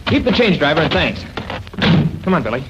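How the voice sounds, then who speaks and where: An actor playing an earnest, resourceful high school boy in 1940s radio serial style, with breezy slang. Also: big pitch swings, brisk. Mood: scheming. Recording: noisy, muffled old radio recording, engine drone.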